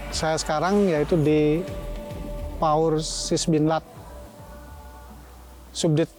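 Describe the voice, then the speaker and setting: Slow-spoken man in his fifties, high voice. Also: darkish tone, low-quality recording. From Bali, Indonesia. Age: 50s. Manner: slow, measured, pausing between phrases. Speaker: police officer. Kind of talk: interview testimony.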